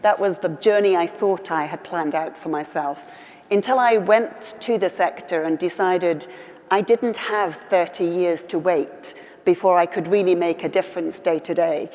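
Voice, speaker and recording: Middle-aged woman, low and reflective. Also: normal speed, a little mellow. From West Wales. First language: Welsh. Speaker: award honoree. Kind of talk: on-stage conversation. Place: large conference hall. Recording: reverberant conference hall.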